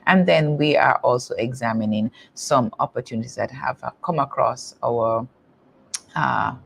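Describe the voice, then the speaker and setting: A man speaking as a company executive, presenting results to shareholders in measured, formal phrasing with halting pauses. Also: medium pitch, gravelly, fairly bright tone, slight background noise. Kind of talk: semi-scripted earnings call presentation.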